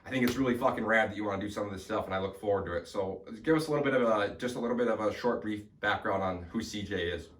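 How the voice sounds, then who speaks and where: Young male podcast host, low voice, roomy sound from a mic set back, rambling and energetic. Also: racing, few pauses, distant mic. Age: 20s. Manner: energetic, rambling, swears casually. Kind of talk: casual podcast chat. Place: small home studio.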